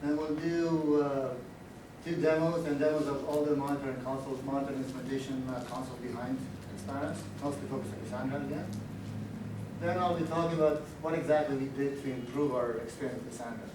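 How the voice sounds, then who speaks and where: A man's voice: mid-range voice, steady tone. Romanian-accented man in an echoey hall. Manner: halting, many "uh" fillers, restarts. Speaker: conference speaker. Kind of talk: technical conference talk. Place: large echoey conference room. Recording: echoey room audio, distant mic, background noise.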